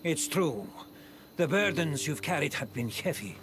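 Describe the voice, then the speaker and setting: Animated, earnest scholar. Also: male voice, fairly high pitch, a little slow, noisy background. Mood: concerned. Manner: earnest, questioning. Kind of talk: video game cutscene acting. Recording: loud music behind the voice. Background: music.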